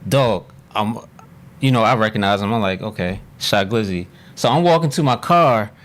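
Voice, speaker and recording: A man hosting a casual podcast, telling stories in a relaxed way and cutting in with short questions. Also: on the low side, normal speed. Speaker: podcast host.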